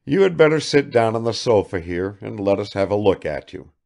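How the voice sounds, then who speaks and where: A man's voice: lowish voice, lively intonation, fairly quick, creaky, darkish tone. A man doing a blunt, offhand young man. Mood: curt. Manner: curt, nonchalant.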